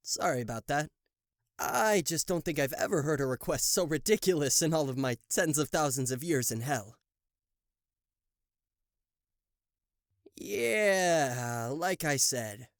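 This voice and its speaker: A man's voice: on the high side, expressive, moderate pace, no echo, studio quality. A man voicing a theatrical, sarcastic demon king of hell in a roleplay audio drama, proud and showy in manner. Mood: exasperated, mocking. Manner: sarcastic, showy, stammering in disbelief. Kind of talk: roleplay audio drama acting addressed to the listener.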